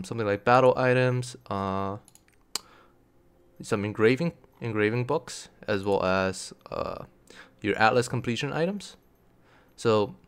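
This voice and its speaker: A young man giving a casual tutorial as a game guide, explaining things plainly. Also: fairly low pitch. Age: young adult. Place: indoor room, seated at computer.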